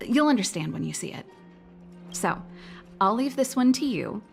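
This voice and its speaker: A woman's voice: medium pitch, expressive, moderate pace, gravelly, soft background music. A woman voicing an easygoing, teasing young female mechanic and musician in a video game, with a casual, playful delivery. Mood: teasing, upbeat.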